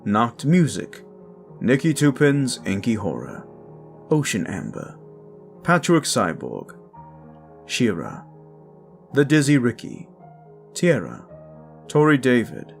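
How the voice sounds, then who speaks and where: A man's voice: medium pitch, huge pitch swings, takes it slowly, vocal fry, long pauses, faint music behind. A man who makes audio roleplays, talking in a casual, upbeat and thankful way in an outro to his listeners.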